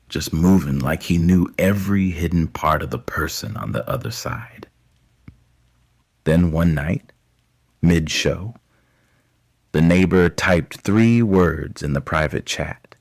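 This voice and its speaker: A man narrating scripted dramatic fiction as an audio story, in short clipped phrases with deliberate pauses. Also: low-pitched, a little mellow.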